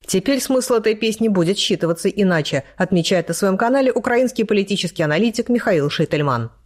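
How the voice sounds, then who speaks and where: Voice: mid-range voice, lively intonation, quick pace. A news narrator reading a scripted report in a matter-of-fact way.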